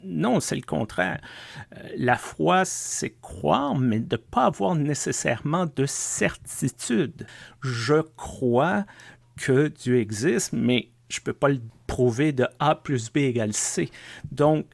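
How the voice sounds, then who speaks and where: A man, a pastor co-hosting a podcast, talking in a thoughtful, measured way from his home basement. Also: mid-range voice, animated, vocal fry, dry recording, clean studio sound. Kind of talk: casual conversation.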